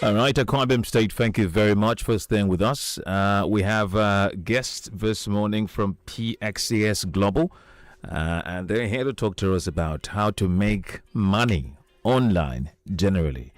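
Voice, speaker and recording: Male morning radio host, very low voice, easy studio chat. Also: a little slow, no room sound. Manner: relaxed, with frequent "uh" fillers. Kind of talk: morning radio talk show hosting.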